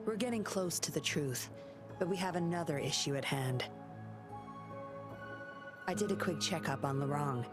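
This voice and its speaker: A woman's voice: sits low, moderate pace, pauses often, close to an everyday voice. A woman voicing a sly, confident female undercover investigator in a video game, with a coy, composed, teasing manner. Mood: serious, businesslike. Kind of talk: scripted video game voice acting. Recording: music under the voice.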